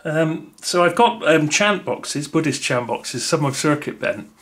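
A man's voice: on the high side, expressive, a little slow, a bit bright, slight background noise. Musing man unboxing gadgets. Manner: musing, thinking aloud with many fillers. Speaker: unboxing video host. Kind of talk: casual unboxing commentary. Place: indoors at a wooden table.

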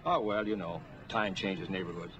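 A man's voice: low-pitched, fairly even intonation, normal speed, a bit bright, muffled recording. An actor playing a chatty old fireman, telling stories in a folksy, colloquial way in a 1940s film. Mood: reminiscing. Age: older. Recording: faintly noisy 1940s film soundtrack.

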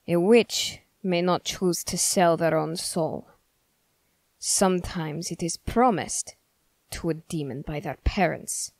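A woman voicing a stern, suspicious young male witch hunter in an ASMR roleplay, speaking formally and accusingly with deliberate pauses. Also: on the low side, vocal fry, a little mellow, no echo. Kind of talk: ASMR character roleplay, scripted.

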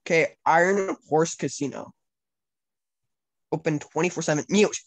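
A man's voice: a little high, moderate pace. A teenage male talking casually and crudely while making prank calls from a small room at home. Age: teens. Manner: crude, foul-mouthed. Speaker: prank caller. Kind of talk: casual prank call banter.

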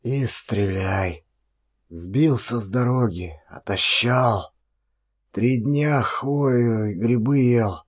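A man voicing a frail, starved, meek vagrant in an audiobook, with a weak hoarse voice and slow, halting, terse replies. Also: mid-range voice. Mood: weary, resigned.

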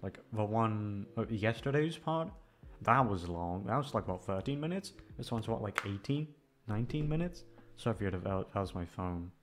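A man's voice: lowish voice, expressive, moderate pace, background noise. A man rambling in casual chat to his listeners, hesitant, repetitive, sweary and self-deprecating. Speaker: audio creator addressing listeners.

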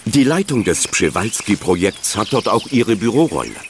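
An actor playing a kindly, gently teasing father of a teenager in a German children's audio drama. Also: lowish voice, sing-song, normal speed, noisy background. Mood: friendly.

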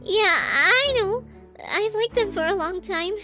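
A woman voicing a shy, flustered teenage girl with a crush in an anime roleplay audio drama, stammering, hesitant and excitable. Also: extremely high pitch, unhurried, over music. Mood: nervous.

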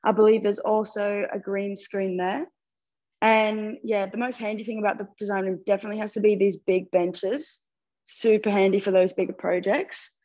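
A woman's voice: mid-range voice, little pitch movement, moderate pace, darkish tone, poor audio. A young Australian woman giving a casual, informative tour as a student guide. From Mildura, Victoria, Australia. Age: young adult. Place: large studio room.

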